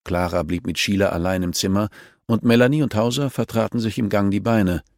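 A man's voice: fairly low pitch, brisk, darkish tone, no echo, studio quality. A man reading scripted fiction as an audiobook narrator.

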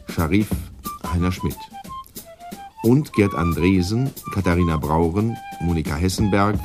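A deep male announcer reads out cast and crew in a formal radio style. His delivery is slow but widely varied in pitch, with music playing behind. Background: prominent flute music.

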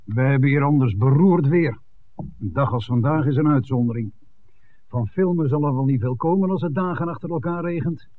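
An actor playing a middle-aged, guarded village innkeeper, hesitant and grudging, in a 1960s Dutch radio drama. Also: male voice, medium pitch, normal speed, lightly acted. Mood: wary. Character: guarded, discouraging village innkeeper, father of grown daughter. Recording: tinny, narrow old radio recording.

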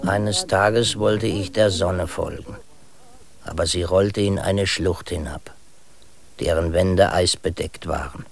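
Old herdsman storyteller, very deep, slow, gravelly, radio play. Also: exaggerated voice. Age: older. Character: old male Sami herdsman telling a tall tale. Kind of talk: German radio play acting.

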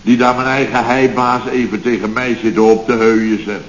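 An actor playing a blustering, aggrieved middle-aged boss of a building firm in a sarcastic, grumbling radio comedy style. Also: male voice, mid-range voice, flat delivery, normal speed, close to an everyday voice. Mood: indignant sarcasm. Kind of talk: Dutch radio comedy acting, circa 1970. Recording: dull, noisy, roomy old radio recording.

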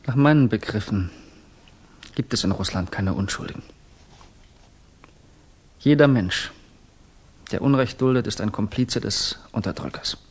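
Fervent young revolutionary student, husky voice. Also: male voice, lowish voice, unhurried, pauses often. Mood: fervent, grave. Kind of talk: 1960s German radio drama acting.

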